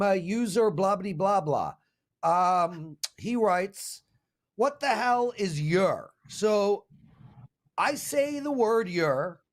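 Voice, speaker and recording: Man, South African accent, betting show host, high-pitched voice, lively casual chat.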